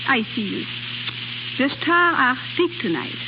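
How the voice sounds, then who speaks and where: An actress playing a passionate young French nightclub singer in 1930s radio drama style, with a French accent and theatrical phrasing. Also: female voice, sits high, very animated, measured pace, heavily acted. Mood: tender, romantic. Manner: theatrical, foreign-tinged phrasing. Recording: muffled, noisy 1930s radio broadcast with hum.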